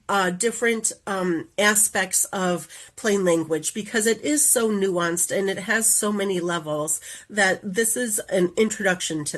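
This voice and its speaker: A woman speaks with the stuffy, nasal sound of a head cold, her voice sitting at an ordinary middle pitch. She walks through her material in a careful, explanatory way. She is presenting over a video call. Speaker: community engagement coordinator presenting a webinar. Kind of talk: online presentation.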